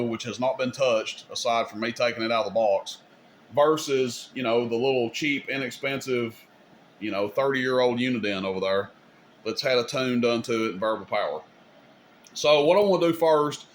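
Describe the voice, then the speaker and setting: A man's voice: mid-range voice, a bit bright, a little hiss or hum. A man hosting a casual, hands-on technical demonstration, speaking informally and directly to viewers in a folksy way. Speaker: video host demonstrating CB radio gear.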